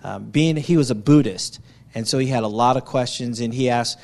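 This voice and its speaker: A man's voice: medium pitch, moderate pace, gravelly, darkish tone. Man telling a conversational church testimony. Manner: conversational storytelling, acts out quotes. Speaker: church missionary giving a testimony.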